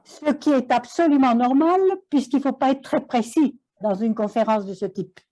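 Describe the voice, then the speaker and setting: A woman with a high but mellow voice talks in a chatty way. She stops now and then for her words to be interpreted. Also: normal speed. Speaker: audience member asking a question. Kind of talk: question in an online Q&A.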